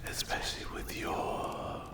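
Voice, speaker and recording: A man voicing a sinister, predatory demon in a dark fantasy ASMR roleplay, taunting mockingly in breathy whispers. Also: echoey room, natural voice. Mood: mocking menace. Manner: slow, menacing, mocking, silky taunts.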